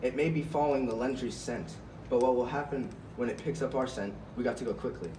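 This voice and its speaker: A man's voice: mid-range voice, fast talker, mellow, close to an everyday voice. A man voicing a brave, hard-headed rabbit in an amateur puppet show, blunt and impatient. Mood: indignant, urgent. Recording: echoey, noisy room, far from mic.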